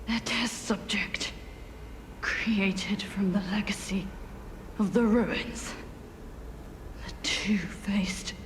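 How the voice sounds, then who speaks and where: An actress playing a tormented, fierce woman warrior in a video game, intense and anguished in delivery. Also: mid-range voice. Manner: intense, anguished, halting when quiet. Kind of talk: dramatic video game acting.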